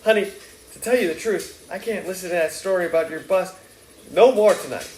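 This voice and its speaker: A man's voice: sits high, fairly quick, darkish tone, reverberant, slight background noise, voice pushed up, rough recording. A man reading aloud and voicing a chatty, doting middle-aged Southern mother in a gushing, folksy way. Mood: doting chatter.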